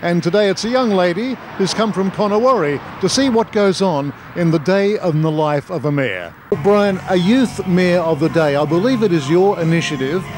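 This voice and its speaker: A man's voice: high voice, lively intonation, normal speed, hardly any pauses. A man with an Australian accent reporting and interviewing on location as a television news reporter. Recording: noisy outdoor location, traffic ambience.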